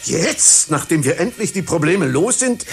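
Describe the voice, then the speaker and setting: A man's voice: a little high, big pitch swings, a little slow. An actor playing a cajoling, exclamatory businessman in a satirical radio play, with a theatrical delivery. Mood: pleading, cajoling. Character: wheedling, exclamatory businessman courting a difficult artist.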